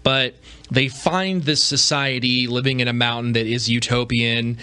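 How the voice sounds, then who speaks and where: A man's voice: mid-range voice, fairly even intonation, a little slow, pushed and bright, smooth. A man hosting a podcast with casual solo commentary, talking informally and conversationally with rhetorical asides. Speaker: podcast host.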